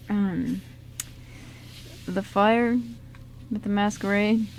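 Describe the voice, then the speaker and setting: A woman's voice: mid-range voice, steady tone, drawn-out words, a little mellow. A woman playing a curious, puzzled young man in an amateur radio drama, speaking in a casual, hesitant way. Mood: uneasy, curious. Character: curious, puzzled young male friend of time traveler. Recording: noisy amateur radio show recording.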